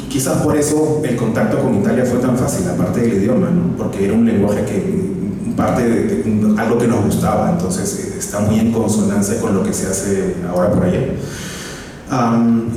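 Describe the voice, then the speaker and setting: Man, comic writer on a panel, low mellow voice, hesitant, far-off echoey hall. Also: slight background noise. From Peru. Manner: hesitant, many fillers and restarts. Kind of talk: conference panel talk. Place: conference hall.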